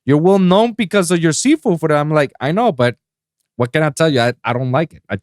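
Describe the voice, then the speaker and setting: A man's voice: a little high, a little fast, darkish tone, no room sound, studio quality. A man from Puerto Rico chatting casually as a podcast host, speaking informally and in slang. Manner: informal, says man and bro often. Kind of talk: casual chat.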